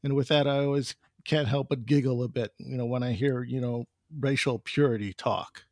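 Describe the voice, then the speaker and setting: A man hosts a podcast, rambling through his points with lots of fillers and repeated words. His voice is gravelly, and the recording is dry and close. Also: mid-range voice, fairly even intonation, normal speed, no room sound. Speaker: podcast host. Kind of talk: casual interview conversation.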